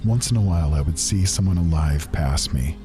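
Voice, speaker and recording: A haunted, sorrowful man , played by an actor, tells a tale of dark fantasy horror. His voice is extremely low and his phrasing solemn, archaic and broken by pauses, with music playing softly underneath. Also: natural voice. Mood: horrified, dread. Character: haunted, grief-stricken man recalling horrors of undead. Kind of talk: dramatic first-person fantasy narration.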